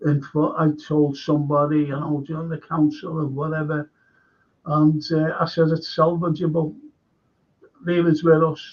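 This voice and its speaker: An older man with a Liverpool accent, hosting a radio chat show and telling rambling local history stories. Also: fairly high pitch. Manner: rambling storyteller, acts out quoted voices. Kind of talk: casual radio chat, reading listener messages. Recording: dull lo-fi sound.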